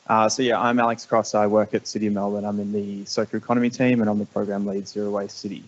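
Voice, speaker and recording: A man's voice: lowish voice, little pitch movement, fast talker, muffled recording. A man giving a conference presentation about his city program, polite and organised.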